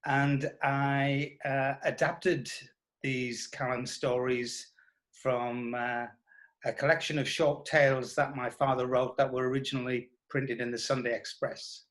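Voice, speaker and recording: A middle-aged man being interviewed as a writer, speaking reflectively and haltingly. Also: mid-range voice, little pitch movement, a bit bright. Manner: thoughtful, halting delivery. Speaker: interviewed writer who adapted his father's stories.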